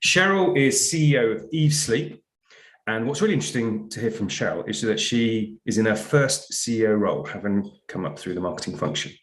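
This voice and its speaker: Mid-pitched man host in a roomy space. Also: expressive, fairly quick. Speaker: podcast host. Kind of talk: warm guest introduction and interview.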